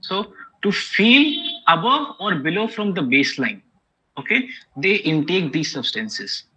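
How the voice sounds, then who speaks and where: A man's voice: sits high, big pitch swings, unhurried, pushed and bright, husky. A man with an Indian English accent giving an academic lecture, explaining step by step with frequent "okay?" and "you know" checks. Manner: explanatory, many okays and you-knows. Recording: dull lo-fi recording.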